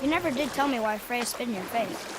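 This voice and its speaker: High-voiced curious young boy. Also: lively intonation, brisk, music in the background, low-quality recording. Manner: inquisitive, plain-spoken. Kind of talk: video game cutscene acting.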